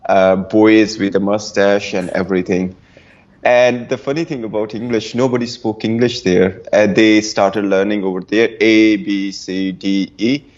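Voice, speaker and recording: A young man, a guest telling stories in a relaxed, casual way with frequent restarts. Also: fairly low pitch, highs cut off. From Pakistan, Punjab. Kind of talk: casual interview answers.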